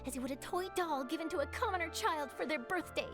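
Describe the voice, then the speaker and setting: Actress as a scoop-hungry young female journalist, very high animated voice, theatrical game dialogue, loud music underneath. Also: fast, lightly acted. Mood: eager storytelling. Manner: theatrical, eager storytelling.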